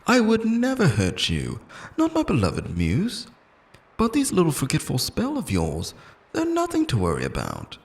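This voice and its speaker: A man's voice: fairly high pitch, huge pitch swings, moderate pace, gravelly, heavily acted. A man playing an obsessive, lonely immortal lover in an intimate, theatrical roleplay monologue, pleading and possessive, moving between tenderness and menace. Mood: coaxing, menacing.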